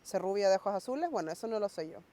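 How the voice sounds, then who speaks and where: A woman's voice: medium pitch, expressive, rapid-fire, dark tone. A woman raised in Norway, reflecting in a documentary interview and speeding up when she quotes her parents.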